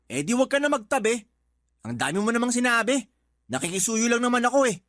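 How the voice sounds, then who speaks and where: A man's voice: extremely high pitch, sing-song, quick pace, a bit bright, no echo, studio quality, exaggerated voice. A man acting in a Tagalog radio drama as a freeloading older brother and father who argues defensively. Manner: wheedling, touchy, argumentative.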